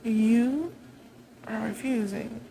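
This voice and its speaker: Girl doing a gloating vampire queen for audio roleplay, imperious n taunting, soft mellow tone, bit of background noise. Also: fairly high pitch, extremely slow, creaky, exaggerated voice, low-quality recording. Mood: taunting, commanding. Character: haughty, vengeful vampire queen, gloating conqueror.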